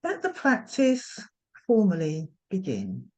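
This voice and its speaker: Woman gently guiding a meditation. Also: mid-range voice, wildly swooping pitch. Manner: gentle, nurturing, unhurried invitations. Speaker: yoga nidra meditation guide. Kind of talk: guided meditation instruction.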